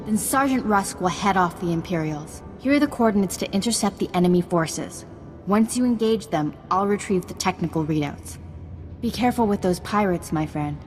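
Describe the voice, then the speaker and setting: An actress playing an earnest, caring female Jedi ally in a video game, speaking in a formal, measured manner. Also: mid-range voice, expressive, a little mellow, soft background music, natural voice.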